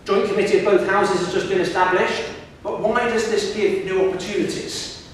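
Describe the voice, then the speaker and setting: Guy lecturing students, kinda high voice, sounds far off in a big echoey hall, faint background hum. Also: normal speed. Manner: lively, engages the audience with questions. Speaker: professor giving a lecture to students. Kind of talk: public lecture.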